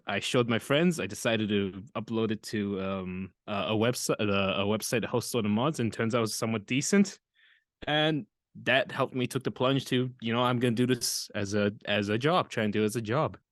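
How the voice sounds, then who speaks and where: A man's voice: mid-range voice, no echo, clean studio sound. A young man from Auckland with a New Zealand accent, a voice actor guest chatting hesitantly and trailing off. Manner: hesitant, trailing off, quiet laughs. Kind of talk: casual interview chat.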